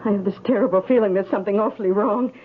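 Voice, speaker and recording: Actress as worried, earnest woman, husky voice, muffled old radio play. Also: mid-range voice, racing, lightly acted. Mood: anxious. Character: anxious, worried woman making urgent inquiries. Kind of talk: radio drama acting.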